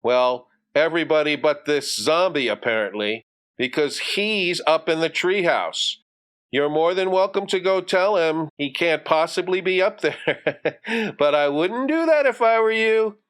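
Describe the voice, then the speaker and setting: A man's voice: a little high, animated, measured pace, a bit bright, clean tone. A man voicing a glum young boy in a storybook, slyly daring others in a mock-innocent, teasing way. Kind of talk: storybook character voice.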